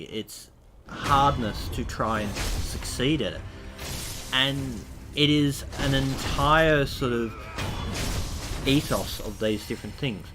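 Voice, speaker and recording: A man getting over a cold, sounding slightly nasal, gives a rambling, enthusiastic spoken game review. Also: mid-range voice. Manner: rambling and enthusiastic, with loose asides.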